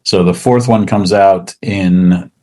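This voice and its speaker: A man chatting casually as an interview guest, talking about his work in a halting, laid-back way. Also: low-pitched, dark tone. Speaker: interview guest, comic book writer.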